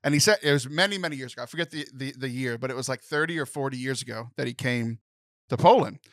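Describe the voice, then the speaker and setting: A young man co-hosting a podcast, telling a story in a lively, animated way. He laughs often and mimics other people's voices. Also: mid-range voice, fast, dry recording, clean studio sound. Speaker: podcast co-host.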